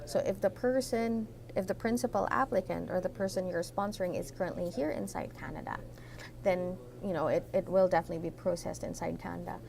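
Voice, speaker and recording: A woman with a Filipino accent walks her live-stream viewers through a topic, switching between English and Tagalog. Her voice has a gravelly, fry-tinged edge, and she keeps pausing on fillers and a checking "right?". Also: lowish voice, a little mellow, slight background noise. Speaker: immigration consultant hosting a live Q&A. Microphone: computer setup at a desk.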